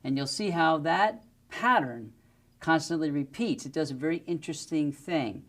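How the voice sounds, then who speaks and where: A man's voice: a little high, expressive, normal speed, a bit bright. A middle-aged man giving a methodical, step-by-step music lesson to the camera, speaking into a lapel mic in a studio. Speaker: music teacher. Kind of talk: instructional demonstration to camera. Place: indoor studio with plain backdrop.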